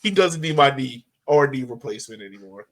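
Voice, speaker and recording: A man hosting a casual sports podcast, talking in an excitable, slangy way. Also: mid-range voice, a little fast. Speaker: podcast host.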